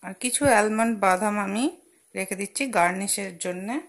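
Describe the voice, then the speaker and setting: Woman, young, cooking host, casual chatty explaining, mid-pitched voice, pan sizzling behind. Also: fairly even intonation, normal speed, creaky. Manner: chatty, explains each step with fillers and asides. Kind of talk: casual cooking demonstration voice-over. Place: home kitchen at a gas stove. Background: frying and sizzling from the pan.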